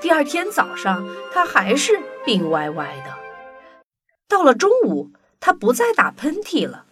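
A woman's voice: sits high, wildly swooping pitch, measured pace, music in the background. A woman reading scripted narration for a children's story.

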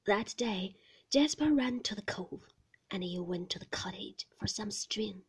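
A woman's voice: medium pitch, expressive, measured pace. A woman whispering as a troubled older husband. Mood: quiet. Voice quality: breathy whisper. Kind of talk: whispered novel reading.

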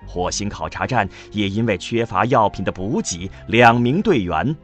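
A man's voice: lowish voice, lively intonation, normal speed, lightly acted. A man reading dramatic scripted narration for a science fiction radio drama, over music.

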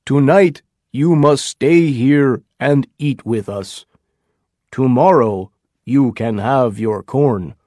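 A proud older chief, stern and forceful, with a plain voice that sits a bit back, as a male narrator plays him. He speaks very slowly, in a dry, close sound. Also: mid-range voice, no room sound. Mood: guarded.

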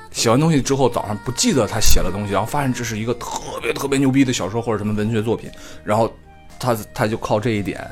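A man's voice: mid-range voice, a little mellow. A man in his thirties, a podcast co-host, chatting casually and rambling with lots of fillers and restarts. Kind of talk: casual chat.

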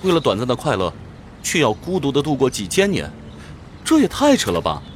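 A man's voice: medium pitch, huge pitch swings, brisk, a little hiss or hum. Casting: male actor as boyish young adventurer, naive, incredulous, wildly varied intonation, fantasy audio drama. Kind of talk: fantasy radio drama acting.

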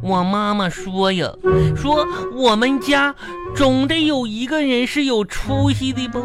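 A man's voice: extremely high pitch, a little slow. A man playing a dim, boastful schoolboy with a northeastern Chinese accent, drawling excuses in a radio comedy. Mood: earnest. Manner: whiny, drawling, silly logic. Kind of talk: radio comedy sketch acting. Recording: loud music behind the voice.

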